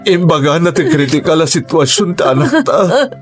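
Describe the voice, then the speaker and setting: A man playing a distraught father who haltingly delivers grave news in an Ilocano radio drama. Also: fairly high pitch, sing-song. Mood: grave, shaken. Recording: radio drama with music underneath.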